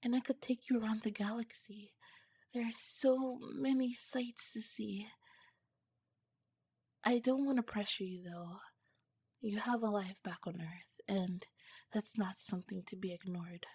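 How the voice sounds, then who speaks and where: A woman plays a female alien scientist falling in love, in an intimate ASMR roleplay. Her voice is breathy and whispery, close and dry on the mic. She talks softly in formal, curious, slightly halting phrases. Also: medium pitch, steady tone, dry recording. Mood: tender, wistful. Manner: soft, halting, formal wording.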